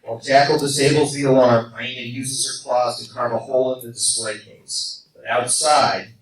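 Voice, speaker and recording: A man's voice: medium pitch, fairly even intonation, moderate pace, a crowd in the background, close to an everyday voice. A man reading scripted scene-setting narration for a live radio play. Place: live convention stage, audience recording. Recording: roomy distant noisy live fan recording.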